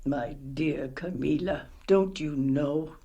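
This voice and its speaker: A woman's voice: low-pitched, slow, dark tone, muffled recording. An actress playing a worn, tender, worried old village mother in a radio play, with a coaxing, motherly manner.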